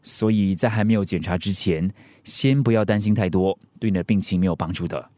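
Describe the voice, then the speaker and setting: An actor in a radio drama playing a measured, frank male doctor. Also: low voice, mellow, lightly acted.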